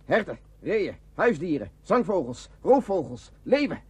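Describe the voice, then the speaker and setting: Husky, clipped military lieutenant. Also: male voice, sits high, very animated, measured pace, darkish tone, full character voice. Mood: urgent. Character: tense, dutiful male border-guard lieutenant on lonely post. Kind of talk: radio play acting.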